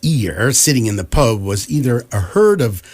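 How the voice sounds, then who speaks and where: A man playing the measured narrator of a comic science fiction radio play, reading scripted narration. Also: mid-range voice, very animated, darkish tone. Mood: dry, measured.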